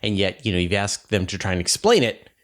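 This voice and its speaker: A man's voice: on the low side, very animated, fairly quick. A man hosting a conversational science interview, inquisitive and thinking aloud as he rephrases things for a lay audience. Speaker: interview host.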